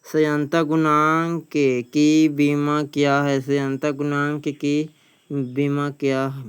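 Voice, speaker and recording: Flat-toned man teaching, clear smooth voice. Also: fairly high pitch, takes it slowly. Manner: plain-spoken, repeats phrases as he reads. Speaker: teacher, exam coaching. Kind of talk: reading out practice questions and answers as a lesson.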